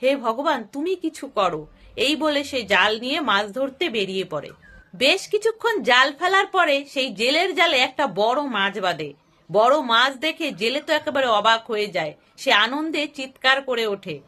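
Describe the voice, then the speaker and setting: A woman's voice: sits high, lively intonation, normal speed, bright, forceful tone. A woman narrating a scripted moral story.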